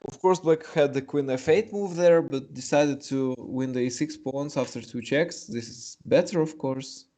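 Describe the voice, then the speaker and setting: Mellow Russian-accented chess streamer. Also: male voice, medium pitch, normal speed. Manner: chatty, hesitant, many fillers and restarts. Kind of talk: live chess commentary and chat reading.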